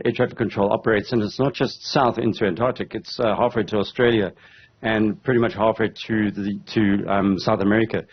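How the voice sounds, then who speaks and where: A man in his fifties with a husky voice, explaining in a halting, measured way as a news interview guest over a video call. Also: on the low side. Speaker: aviation commentator and interview guest. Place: indoor room on a video call. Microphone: headset on a video call. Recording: dull, lo-fi video call audio.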